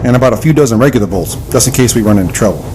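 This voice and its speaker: A man's voice: mid-range voice, animated, rapid-fire, background noise, close to an everyday voice. An actor playing a wry, cocky young-hearted marksman in an audio adventure drama, casual in delivery. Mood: confident.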